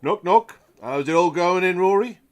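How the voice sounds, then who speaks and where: A man's voice: sits high, animated, moderate pace. A man playing a smug, falsely cheerful boss with a condescending manner in an audio drama. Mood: smug, breezy. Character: smug, whimsical male boss, quietly menacing. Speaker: newspaper or magazine boss.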